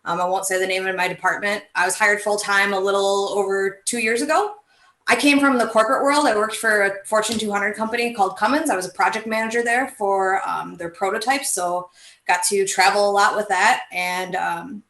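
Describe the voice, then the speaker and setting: A woman's voice: mid-range voice, steady tone, a little fast, a bit bright, roomy sound. A woman from Wisconsin introducing herself casually, chatty with frequent ums. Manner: open, chatty, hesitant fillers. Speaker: firefighter paramedic guest.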